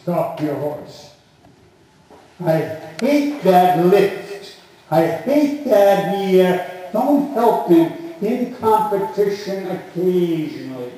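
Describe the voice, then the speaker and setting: A male riding coach gives short, repeated commands in a slow, emphatic way. His voice is fairly high for a man and somewhat mellow. It comes from a distance across a very echoey covered arena. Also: sing-song. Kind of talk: calling out instructions and critique during a show jumping clinic. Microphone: distant camera at the side of the arena.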